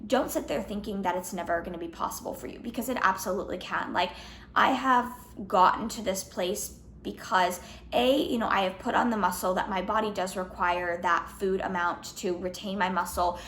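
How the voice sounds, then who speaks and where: A young woman in her twenties talks casually straight to the camera, slightly hoarse, filling her sentences with "like" and "um". Her voice sits at a middle pitch. The room sounds echoey, with a faint hum of ambience behind her. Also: fairly even intonation, moderate pace, a bit bright. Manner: chatty, lots of "like" and "um", restarts. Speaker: fitness vlogger. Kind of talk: casual Q&A vlog.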